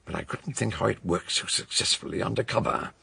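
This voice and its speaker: Old eccentric detective doctor, husky and low, ponderous radio drama delivery, very grave. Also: male voice, fairly quick, bright, forceful tone, heavily acted. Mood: grave, emphatic. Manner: theatrical, ponderous, oratorical.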